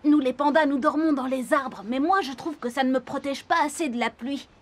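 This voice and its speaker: A woman's voice: high-pitched, brisk, natural voice. A woman voicing a friendly, easygoing panda in a children's cartoon, explaining things simply. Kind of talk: children's cartoon dialogue in French.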